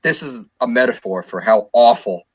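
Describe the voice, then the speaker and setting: Young male podcast co-host, twenties, mid-pitched, loose rambling delivery full of "like". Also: lively intonation, normal speed, breathy edge. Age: 20s. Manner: rambling, many fillers and restarts. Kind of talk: casual podcast chat.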